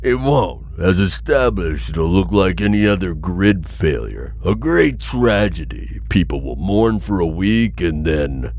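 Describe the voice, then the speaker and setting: Man playing a very deep, callous corporate executive. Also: big pitch swings, unhurried, few pauses, a little mellow, a little hiss or hum, exaggerated voice. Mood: dismissive. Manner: clinical, matter-of-fact, dismissive. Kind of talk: audio roleplay acting, boardroom recording.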